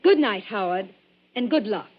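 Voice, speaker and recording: An actress playing an anxious, theatrical wife haunted by dread, dramatic and fretful in 1940s radio style. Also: female voice, medium pitch, lively intonation, moderate pace, noisy background, close to an everyday voice. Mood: tender. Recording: muffled vintage radio recording with music.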